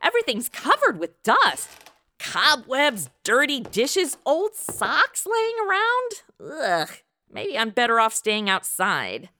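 A woman's voice: sits high, wildly swooping pitch, slow pace, bright, forceful tone, a little hiss or hum, exaggerated voice. A woman playing a sassy, entitled modern princess in a comic radio play, sarcastic, slangy and exasperated. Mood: disgusted.

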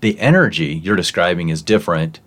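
A man hosting a podcast interview, thoughtfully paraphrasing his guest in a measured way. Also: deep voice, expressive, a bit bright. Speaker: podcast host. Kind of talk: interview podcast, calm reflective.

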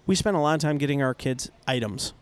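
A man's voice: mid-range voice, animated, fast talker, a little hiss or hum. A middle-aged man from North America co-hosting a casual podcast chat, playful and jokey, often laughing and singing bits. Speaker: podcast co-host.

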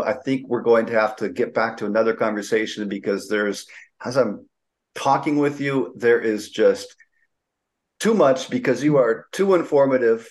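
A man hosting an interview, asking long, enthusiastic questions full of fillers and restarts. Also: mid-range voice. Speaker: podcast host.

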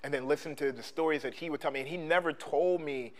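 A man co-hosting a podcast, speaking in a casual conversation, halting with many restarts and ums. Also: fairly high pitch. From Philadelphia. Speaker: podcast co-host; sports coach and trainer.

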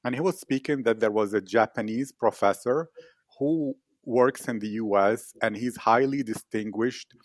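A man's voice: mid-range voice, moderate pace, no echo. A man hosting an interview, asking long, rambling, inquisitive questions. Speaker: host, interviewer. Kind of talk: podcast interview.

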